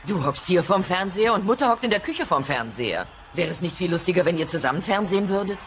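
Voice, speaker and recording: A woman playing a caring but bossy middle-aged dinosaur mother, brisk, coaxing and firm, in a comedic audio play. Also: fairly low pitch, very animated, a little hiss or hum. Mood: concerned.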